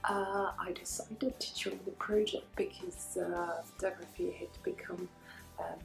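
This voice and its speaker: A woman, a teacher answering interview questions thoughtfully with many pauses. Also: lowish voice, fairly even intonation, creaky, soft background music. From France. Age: 30s. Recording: echoey room.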